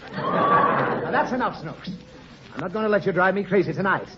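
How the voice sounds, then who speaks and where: A man's voice: sits high, huge pitch swings, moderate pace. A man playing a fussy, easily flustered father reasoning with his small daughter in a 1940s radio comedy. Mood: exasperated. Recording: muffled, noisy 1940 radio, studio audience.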